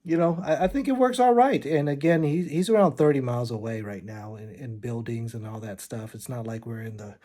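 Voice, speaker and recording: A man's voice: mid-range voice, dark tone. A man with a mid-range voice talks through a gear review for a vlog. His delivery is casual and informal, explaining things plainly as he goes. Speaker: product reviewer.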